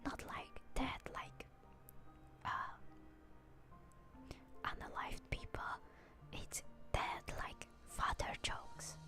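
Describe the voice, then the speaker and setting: Slow whispering young woman. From Hungary. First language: Hungarian. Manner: whispered, soft and slow, chatting with viewers. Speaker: VTuber streamer. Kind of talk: ASMR stream chat. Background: music.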